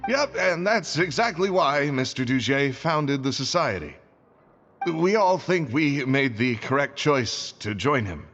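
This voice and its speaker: A man's voice: mid-range voice, sing-song, a little slow, fairly bright tone, dull audio, faint music behind. An actor playing a courteous, earnest male guide in a video game, polite, formal and welcoming. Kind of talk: video game dialogue acting.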